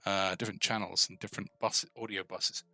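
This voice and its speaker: A man hosting a casual live coding tutorial, thinking aloud informally and tentatively, often pausing mid-thought as he works. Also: low voice, flat delivery, sharp tone. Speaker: host of a coding tutorial stream.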